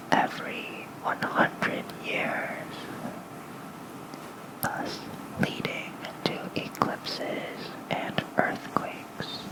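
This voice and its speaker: An ASMR host reading lore as a bedtime story in a soft, breathy whisper, gentle and soothing, close to a fluffy microphone. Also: poor audio. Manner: slow, gentle, soothing delivery. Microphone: close fluffy-covered microphone.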